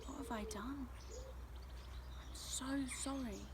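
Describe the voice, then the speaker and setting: A woman's voice: medium pitch, lively intonation, unhurried. Female reader as male storybook rain cloud: sulky, stubborn, snappish. Noisy recording. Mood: remorseful. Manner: huffy, snappish.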